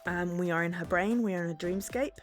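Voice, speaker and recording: A woman chatting as she streams a game, a bit hoarse from a sore throat, bubbly and quick to laugh. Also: fairly low pitch, faint music behind. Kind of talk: casual game-stream chat.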